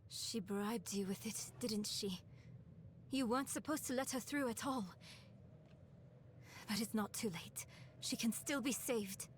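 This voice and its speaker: A woman's voice: on the high side, unhurried, long pauses, a little hiss or hum, lightly acted. An actress playing an earnest, determined young woman in a game cutscene, urgent and insistent as a worried daughter. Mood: urgent, pleading. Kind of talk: dramatic video game cutscene acting.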